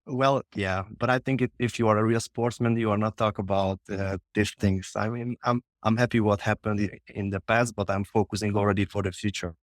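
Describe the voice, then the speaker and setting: A man in his thirties from Hungary, speaking English with a Hungarian accent, a kickboxing coach answering interview questions in a modest, halting way. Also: lowish voice, fairly even intonation, dry recording, studio quality.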